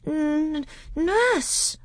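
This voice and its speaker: A woman's voice: a little high, big pitch swings, a little slow, a little mellow, breathy edge, no echo, pitched above the performer's own voice, exaggerated voice. A woman voicing a very young, innocent boy prince in a storybook, with an artless, childlike way of talking. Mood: frightened, tearful. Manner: artless, breathless childlike babbling. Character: very little boy prince, innocent, eager, dreamy. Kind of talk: acted storybook dialogue.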